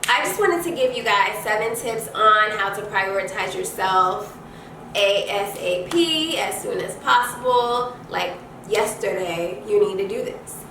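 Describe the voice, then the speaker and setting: A congested young woman giving a casual motivational talk to viewers, conversational and halting, repeating and rephrasing points for emphasis. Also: mid-range voice, expressive, bright, forceful tone. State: congested from allergies. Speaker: host of a self-care advice channel. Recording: echoey room, distant mic, noisy background.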